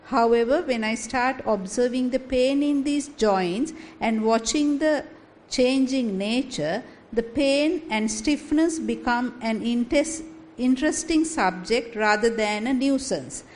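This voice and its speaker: A woman reading her meditation report aloud in a steady, even way. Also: a little high, slow. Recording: light background noise.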